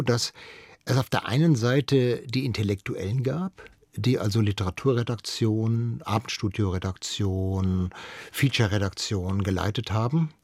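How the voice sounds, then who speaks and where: A man's voice: medium pitch, a little mellow, husky, no room sound, clean studio sound. A man giving explanatory interview answers, emphatic and repeating key phrases. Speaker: interviewed cultural radio expert.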